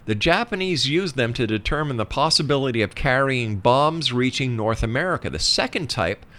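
A man with a medium-pitched voice hosts a radio interview. He explains in an emphatic, conversational manner, sometimes shifting into reading out information. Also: fairly bright tone. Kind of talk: conversational interview with read-out facts.

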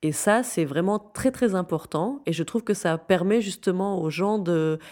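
A woman's voice: on the low side, moderate pace, darkish tone. A woman speaking earnestly and emphatically as an interview guest, conversational and often repeating words for stress. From Syria. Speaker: interview guest, founder of a youth non-profit.

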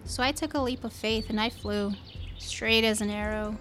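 Actress as plainspoken space captain mom, highish voice, audio drama, bit of music behind. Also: female voice, fairly even intonation, normal speed, a bit bright, lightly acted. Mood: wistful storytelling. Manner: plainspoken, storytelling to a child.

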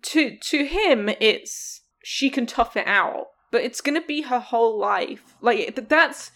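A woman's voice: a little high, lively intonation, measured pace, fairly bright tone. A woman co-hosting a podcast, talking candidly and laughing often. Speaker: podcast co-host. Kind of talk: casual chat.

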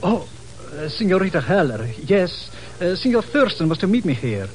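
High-voiced, earnest colonel. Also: male voice, very animated, normal speed, darkish tone. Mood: formal, earnest. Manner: formal, emphatic. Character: earnest, excitable Portuguese police colonel. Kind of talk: 1950s radio drama acting. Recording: old radio recording with hiss.

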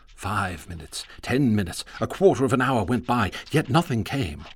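A man narrating a horror radio drama in an ornate, measured, theatrical manner. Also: lowish voice, expressive, slightly rough. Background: music.

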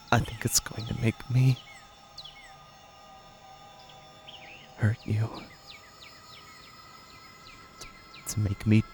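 A man playing a frightened, guilt-ridden young man in a horror audio drama, halting and stammering as he speaks. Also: lowish voice, slight background noise, natural voice. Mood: fearful, confessing. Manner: halting, stammering, repeating himself.

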